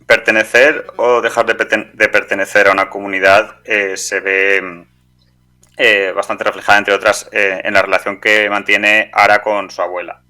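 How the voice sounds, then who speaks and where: A man with an accent from Spain, hosting an interview with long, prepared questions delivered in halting phrases. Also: on the low side, flat delivery, bright, forceful tone. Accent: Spanish from Spain. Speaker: podcast host, interviewer.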